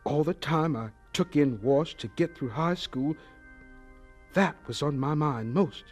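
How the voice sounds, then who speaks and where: A man acting an earnest, humble, determined scientist in an audio drama, speaking haltingly with feeling. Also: fairly high pitch, faint music behind. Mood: earnest, resolute.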